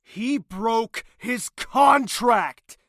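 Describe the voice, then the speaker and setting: Male actor as proud wounded villain prince, sharp bright edge, sardonic, theatrical, dry close sound, fantasy audio drama. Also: extremely high pitch, drawn-out words, no echo, full character voice. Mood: bitter, furious. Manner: theatrical, sardonic, swinging from hushed to explosive. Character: embittered crown prince, proud self-confessed villain, wounded and defiant.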